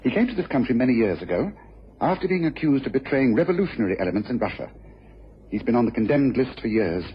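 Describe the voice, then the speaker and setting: A dull, faintly hissy radio recording carries an actor as a commanding male detective. He talks quickly and incisively, intense and hard on himself, lecturing with absolute assurance. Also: mid-range voice, big pitch swings, natural voice. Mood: urgent, authoritative. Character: brisk, commanding detective, self-reproachful and intense. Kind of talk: radio crime drama acting.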